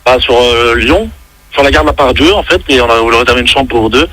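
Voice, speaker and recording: A man's voice: medium pitch, fairly quick, sharp tone, dry recording. A man playing a polite, fussy hotel guest who rambles and hesitates on a prank phone call. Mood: polite, hesitant. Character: polite, fussy male hotel guest, devoted pet owner. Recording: faintly noisy radio prank call.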